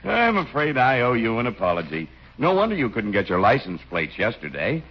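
An actor playing a stern, emphatic police chief in a 1940s radio comedy. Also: male voice, medium pitch, huge pitch swings, fairly quick, breathy edge, full character voice. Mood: conciliatory. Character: stern, huffy male police chief, gruff but fair-minded. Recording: dull vintage 1940s radio recording.

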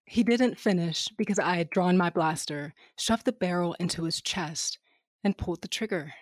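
A woman's voice: lowish voice, normal speed, no echo, close to an everyday voice. A woman playing a brash, cynical smuggler captain, narrating an audio drama in a wry, flippant first person. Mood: cold, dismissive.

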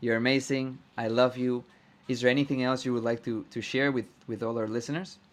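A man hosting a podcast, chatting casually and warmly echoing his guest's words back. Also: medium pitch, level tone, a little fast. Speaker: podcast host. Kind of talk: casual interview chat.

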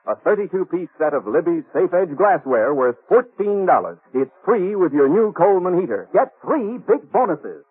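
An American man working as a 1950s radio announcer, emphatic and salesmanlike. His slightly high, husky voice rises and falls dramatically, on a dull vintage broadcast recording.

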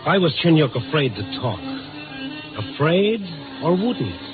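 An actor playing a tough, sardonic newspaper reporter, speaking tersely and wryly in a 1950s radio drama. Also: male voice, a little high, very animated, a little slow. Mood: brooding narration. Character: tough, sardonic newspaper reporter narrating his night investigations. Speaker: lead actor and narrator. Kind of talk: 1950s radio drama acting, noir narration. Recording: tinny 1950s radio recording, music behind.

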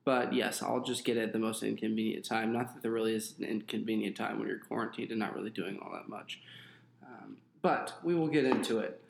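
A man's voice: lowish voice, steady tone, brisk, gravelly, roomy sound, slight background noise, rough recording. A young adult man co-hosting a podcast, chatting casually and rambling a little with fillers. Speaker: podcast co-host.

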